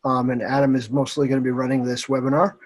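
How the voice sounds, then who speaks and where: A man in his forties introducing a webinar panel, relaxed and conversational with occasional uhs. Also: mid-range voice, quick pace. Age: 40s. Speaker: panelist and committee member.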